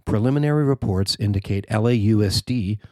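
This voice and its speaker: Low-voiced American man reading news, dry sound. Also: fairly quick, dark tone, no room sound. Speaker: reporter reading own written article. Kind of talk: scripted news narration.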